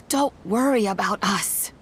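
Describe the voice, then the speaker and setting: A woman voicing a kindly old grandmother in a game, speaking softly and gently. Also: mid-range voice, slow, a little hiss or hum. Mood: gentle. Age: older.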